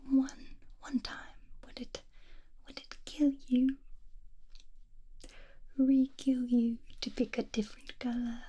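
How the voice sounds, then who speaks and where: A woman playing a doting, obsessive mad scientist who fusses over her creation in a cooing, breathy whisper, as an ASMR roleplay. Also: on the high side, dark tone. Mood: fussy, coaxing. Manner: cooing, unhurried, fussy endearments.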